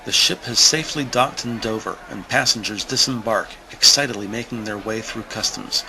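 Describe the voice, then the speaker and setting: A man narrating an audio drama in a deliberate, suspenseful scripted style with emphatic pauses. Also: fairly low pitch, vocal fry, noisy background.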